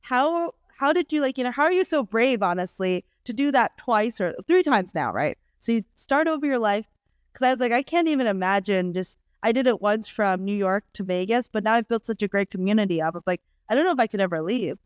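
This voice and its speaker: Gushing woman interview host, crisp dry sound. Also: fairly high pitch, animated, a little fast, dry recording. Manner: effusive, gushing, many likes and fillers. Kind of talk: casual interview chat.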